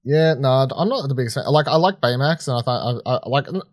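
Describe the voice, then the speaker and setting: A man's voice: mid-range voice, lively intonation, fast talker, dry recording, studio quality. A man co-hosting a podcast, giving casual film reviews in a rambling, informal, sweary way. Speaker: podcast co-host reviewing films.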